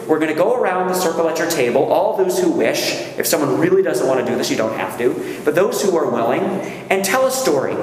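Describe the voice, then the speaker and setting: A man, a rabbi, leading a workshop and talking conversationally to a gathering, often checking in with the listeners. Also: a little high. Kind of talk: speech to a gathering. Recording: echoey hall, faint room noise.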